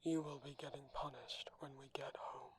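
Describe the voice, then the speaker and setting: A man playing a cold, deadpan robot assistant with formal, clipped phrasing in an ASMR audio roleplay. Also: fairly high pitch, moderate pace, husky. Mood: cold, threatening.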